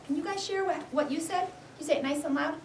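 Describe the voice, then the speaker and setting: A woman teaching young children, reading a storybook aloud expressively and giving clear, animated instructions. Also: very high voice, quick pace. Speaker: first-grade teacher. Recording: echoey classroom, distant, background noise.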